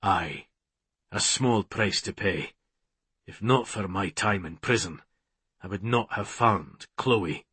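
A man voicing a fierce, protective Scottish male werewolf with a rough voice, in an audiobook. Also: mid-range voice, expressive, unhurried, fairly bright tone, dry recording. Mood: grimly controlled.

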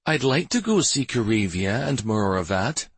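A man's voice: on the low side, very animated, moderate pace, husky, no echo, heavily acted. A man voicing an adventurous, affectionate young wife of noble standing in a fantasy audiobook, speaking earnestly and teasingly. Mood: eager, teasing.

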